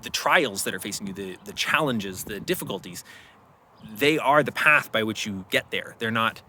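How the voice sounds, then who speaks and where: Animated bright-voiced man talking reflectively. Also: on the low side, moderate pace, a little hiss or hum. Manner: animated, acts out inner voices while explaining. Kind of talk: casual reflective conversation.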